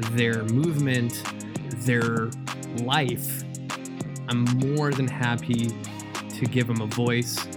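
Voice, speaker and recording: A man hosting a casual podcast interview, talking in an upbeat, hyped-up way. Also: mid-range voice. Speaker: podcast host. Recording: loud guitar music behind.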